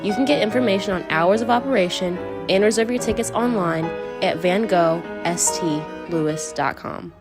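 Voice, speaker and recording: A woman's voice: medium pitch, normal speed, hardly any pauses. Woman reading news over guitar music. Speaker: news reporter.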